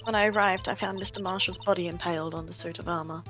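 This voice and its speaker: Woman doing a serious lady prosecutor, lowish voice, steady testimony, game music in back. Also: natural voice. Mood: confessing. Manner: measured testimony, breaks into laughter. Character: serious woman chief prosecutor, composed and guilt-ridden. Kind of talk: live fan dub.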